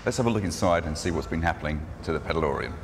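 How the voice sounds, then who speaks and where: Brief: low-voiced guy in his 40s, casual workshop vlog, light hiss behind. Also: animated, racing. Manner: explains the build step by step with fillers. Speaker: maker presenting his build. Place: indoor workshop garage.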